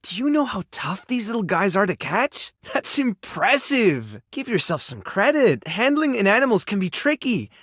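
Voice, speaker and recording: Male game character: caring, anxious animal rescuer, friendly and coaxing, higher-set voice with lively swings. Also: normal speed, few pauses, no echo, studio quality, full character voice. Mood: delighted, playful. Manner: expressive, soft-hearted, encouraging, coaxing small creatures like pets. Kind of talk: video game character dialogue lines. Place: studio.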